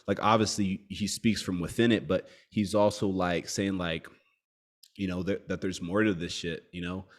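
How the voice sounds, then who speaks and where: A young man co-hosting a podcast, talking in a reflective, casual way as he searches for his words. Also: sits low. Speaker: podcast co-host. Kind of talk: casual chat.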